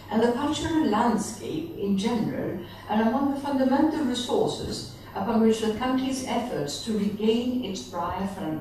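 A middle-aged woman reads a formal conference paper at a lectern, in a measured way with occasional self-corrections. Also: mid-range voice, a little mellow. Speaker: conference speaker reading a presentation. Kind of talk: reading a prepared conference paper. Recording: echoey conference hall, distant mic, faint background noise.

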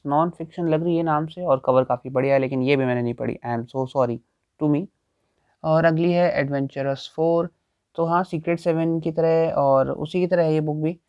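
A young man talks casually as he browses a cramped indoor bookstore, thinking aloud about each find. His voice sits a little high, and the sound is dry and close with almost no room echo. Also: darkish tone. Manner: chatty, thinking aloud while browsing shelves. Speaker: booktuber. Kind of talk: casual walkthrough vlog. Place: small indoor bookstore with packed shelves.

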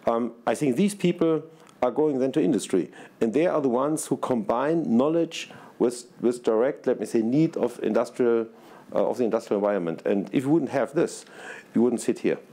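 A man's voice: mid-range voice, moderate pace, dark tone. A man in his sixties with a German accent, speaking earnestly as a panelist, repeating himself and searching for words, on a large conference stage. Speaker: research institute president, panelist. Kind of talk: panel discussion remarks. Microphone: lapel mic.

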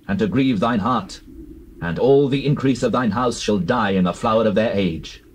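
An actor playing a stern prophet pronouncing divine judgement in a solemn, declamatory way, in a dramatized scripture recording. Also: male voice, lowish voice, darkish tone, lightly acted, poor audio. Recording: hissy old cassette recording.

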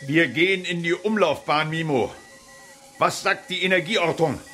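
An actor playing an impatient, grumbling general in a children's sci-fi radio drama, with an emphatic, commanding delivery. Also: male voice, fairly high pitch, unhurried, music in the background, natural voice. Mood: urgent. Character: impatient, blustery male general, bold and grumbling.

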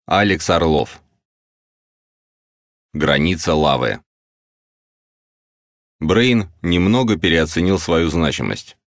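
A man's voice: very deep voice, lively intonation, slow, long pauses, no room sound. A man narrating a novel as a scripted audiobook, close to the microphone.

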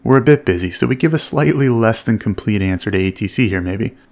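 Creaky-voiced man giving instructional commentary. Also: fairly low pitch, expressive, fast, a little mellow. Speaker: flight instructor narrating. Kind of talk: explanatory instructional commentary over flight footage.

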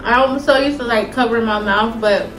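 A woman's voice: fairly high pitch, normal speed, fairly bright tone. An American woman in her thirties giving an informal, slangy food review while she eats. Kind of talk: casual chat, food review. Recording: roomy home recording with faint music.

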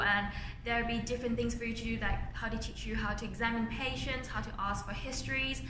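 A young woman in her twenties from Thailand, speaking English with a Thai accent, earnestly answering interview questions as a medical student. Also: fairly high pitch. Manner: earnest, plain-spoken, slightly non-native grammar. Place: indoors by large windows, university building. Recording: roomy echo with clear ambient noise.

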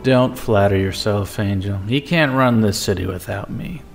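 A man plays an arrogant gangster in an audio drama. His voice is on the low side, cool and dismissive. Music runs clearly behind him. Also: normal speed. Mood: smug contempt. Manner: smug, dismissive. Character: arrogant, cold male gangster.

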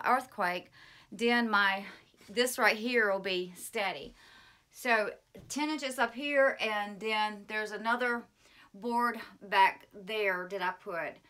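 Middle-aged woman, plainspoken and self-correcting, crisp bright voice, phone at arm's length in a small room. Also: medium pitch. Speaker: homesteading vlogger. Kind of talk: casual DIY walkthrough. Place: small indoor pantry room. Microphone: phone held at arm's length.

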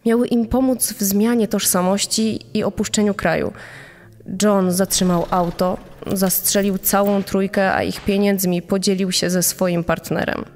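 A woman playing a nurse soberly recounting a confession, serious and measured, as dramatised podcast testimony. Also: medium pitch, level tone, darkish tone, close to an everyday voice. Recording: long echoing reverb.

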